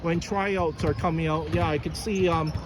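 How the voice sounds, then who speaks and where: Guy with a kinda high voice doing a casual interview, stumbly and hesitant, noisy gym behind him. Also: steady tone. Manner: halting, hesitant, repetitive delivery. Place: indoor basketball gym.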